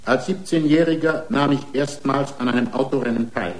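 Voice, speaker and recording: An actor playing a proud, defiant racing driver on trial in a German radio drama, emphatic and combative. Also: male voice, medium pitch, moderate pace, natural voice, rough recording. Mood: boastful. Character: proud, defiant male racing-driver defendant, indignant braggart.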